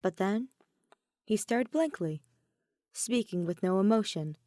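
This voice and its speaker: A woman narrates a story from a script at a medium pitch. The recording is dry, with little room sound around her voice. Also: animated, measured pace, mellow, no room sound. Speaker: podfic narrator.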